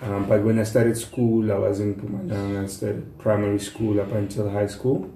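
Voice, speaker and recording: A man in his thirties with a South African accent, speaking casually and haltingly as an interview guest. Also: fairly low pitch, steady tone, warm tone. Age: 30s. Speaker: podcast guest. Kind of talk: casual self-introduction. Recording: echoey room, faint background noise.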